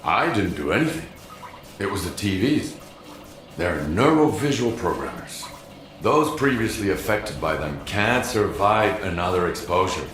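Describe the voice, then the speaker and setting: An actor playing a stern, world-weary outcast in a video game, terse and accusing. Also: male voice, sits low, very animated, unhurried, a bit bright, reverberant, a little hiss or hum, full character voice. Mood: grim, explaining. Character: grim, world-weary male outcast of a forbidden zone. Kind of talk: video game cutscene acting.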